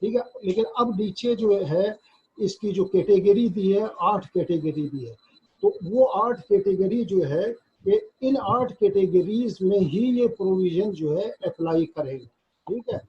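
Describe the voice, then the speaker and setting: A high-pitched, very husky male voice with a Pakistani accent, captured in a dull lo-fi recording. He teaches like a law lecturer, reading formal passages and then explaining them, halting often to check that listeners follow. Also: lively intonation.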